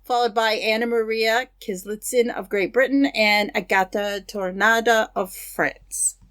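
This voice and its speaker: A woman co-hosting a podcast, reading news items in a steady, matter-of-fact way with casual asides. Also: a little high, unhurried, clean tone. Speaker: podcast co-host.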